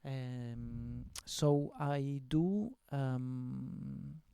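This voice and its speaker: A man speaks slowly in English, stopping often with fillers and restarts. His voice sits at a middle pitch and sounds dry and close to the mic. He is a musician answering questions in an interview. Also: gravelly, mellow, clean tone, no room sound. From Argentina. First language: Spanish. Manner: hesitant, many fillers and restarts. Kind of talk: casual interview chat. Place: record store at a release party.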